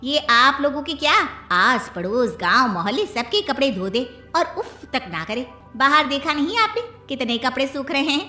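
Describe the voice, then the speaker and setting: Woman voicing talkative, high-voiced cartoon mother. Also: expressive, normal speed, hardly any pauses, pushed and bright, roomy sound, faint music behind. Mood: boastful. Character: talkative mother eager to marry off her daughter. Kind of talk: cartoon story voice acting.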